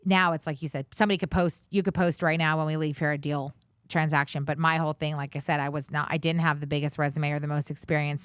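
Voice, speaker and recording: A woman in her forties talks in a relaxed, chatty way, looping through fillers and restarts as she explains her work. Her voice sits low and comes through smooth and clean, in a dry room with no echo. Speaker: guest, commercial real estate director. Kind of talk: casual interview conversation.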